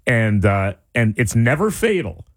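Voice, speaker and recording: A man co-hosting a radio call-in show, emphatic and animated, his voice starting to go. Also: mid-range voice, a little slow, no room sound, clean studio sound. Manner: animated, emphatic, talks through restarts. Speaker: radio co-host. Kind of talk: lively radio call-in chat. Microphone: studio microphone.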